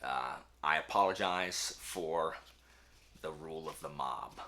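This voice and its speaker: A man talking casually to camera as a book channel host, emphatic and animated, with a self-deprecating way of speaking. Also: a bass voice, slow pace, a little hiss or hum. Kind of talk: casual talk to camera, answering book tag questions.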